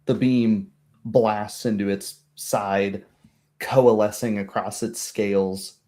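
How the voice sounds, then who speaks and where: A man's voice: on the low side, steady tone, slow pace, dark tone. A man narrating a tabletop roleplay as game master, with dramatic, descriptive storytelling.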